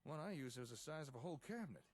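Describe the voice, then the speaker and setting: A man's voice: fairly high pitch, expressive, racing, husky. A man playing an easygoing, enthusiastic settlement tinkerer, chatting and explaining in a folksy way in video game dialogue. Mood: teasing, pleased.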